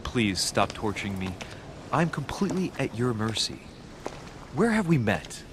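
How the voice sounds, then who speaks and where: A young French diplomat in a game cutscene. He is polite, formal and self-assured, with a questioning manner and a middle-pitched voice. A clear rumbling ambience runs behind him. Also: big pitch swings, a little slow, noisy background. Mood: apologetic. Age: young adult. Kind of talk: video game cutscene acting.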